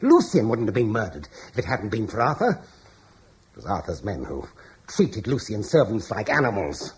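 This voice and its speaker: A man's voice: fairly low pitch, huge pitch swings, normal speed. An actor playing an anxious, stammering man who blames others and is fleeing with his wife, in an old radio drama. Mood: bitter. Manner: hesitant, stammering, gloomy. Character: anxious older Romano-British man, fearful, bitter. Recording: old broadcast with faint hiss.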